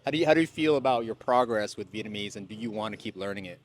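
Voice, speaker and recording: A man's voice: on the low side, racing, slight background noise. A man interviewing a guest in a casual conversation, curious and asking follow-up questions. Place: in Vietnam.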